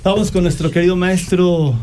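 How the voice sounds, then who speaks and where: A man's voice: high voice, steady tone, moderate pace, noisy background. A man co-hosting a talk show, welcoming guests. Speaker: co-host.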